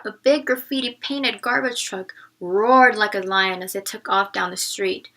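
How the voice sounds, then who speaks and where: A woman teacher reading a picture book aloud, narrating and pausing to explain it to young listeners. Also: medium pitch, fairly bright tone.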